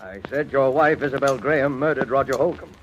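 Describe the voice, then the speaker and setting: A brisk police investigator played by a man in a vintage radio drama, talking quickly at a medium pitch. He is formal and businesslike, with dramatic music underneath. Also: highs cut off, close to an everyday voice. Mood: solemn, accusing. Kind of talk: old-time radio drama acting.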